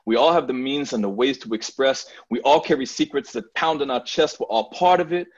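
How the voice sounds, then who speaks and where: A man's voice: mid-range voice, brisk, dull audio. A man freestyle rapping in a rhythmic rhyming flow, then talking earnestly and thoughtfully as a guest artist. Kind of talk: freestyle rap then reflective talk.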